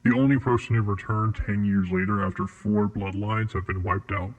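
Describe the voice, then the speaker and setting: A man acts out a cold facility interrogator in a horror audio drama. The voice is low and rough-edged, husky in texture, with formal, detached phrasing that stays measured. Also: steady tone, narrow, tinny audio, natural voice. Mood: clinical, matter-of-fact. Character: cold, clinical male interrogator at a secret facility.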